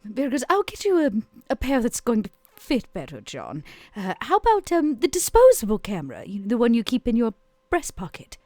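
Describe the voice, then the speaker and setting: A woman playing a tabletop roleplaying game, improvising dialogue and casual narration in a playful, animated way. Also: on the high side, a little fast, no echo.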